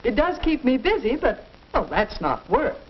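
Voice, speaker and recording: A muffled, hissy mid-century classroom film carries a busy housewife. Her voice sits on the high side as she talks through her household duties. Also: very animated, moderate pace. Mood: brisk, content. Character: busy housewife mother, talking over her chores. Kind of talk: 1950 instructional film acting. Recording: muffled, noisy 1950 film soundtrack.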